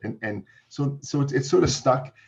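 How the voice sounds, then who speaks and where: A middle-aged Canadian man with a Canadian accent, answering seminar questions over a video call, halting with frequent restarts. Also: mid-range voice, animated, vocal fry. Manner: halting, many restarts and fillers. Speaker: company founder presenting. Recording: dull lo-fi video call audio.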